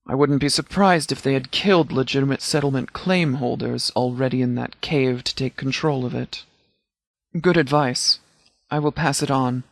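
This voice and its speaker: A man playing a stern, principled post-apocalyptic sheriff in a video game, speaking in a formal, measured, articulate way. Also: on the high side, no echo, close to an everyday voice. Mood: disapproving. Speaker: voice actor in a game mod. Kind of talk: scripted video game character dialogue.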